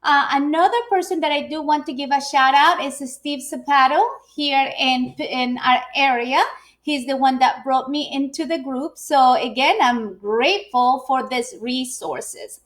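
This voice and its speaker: Middle-aged woman, high voice, chatty live-stream speaker who restarts often. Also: measured pace. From Ecuador. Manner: chatty, frequent fillers and restarts. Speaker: business owner and speaker. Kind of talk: live video presentation.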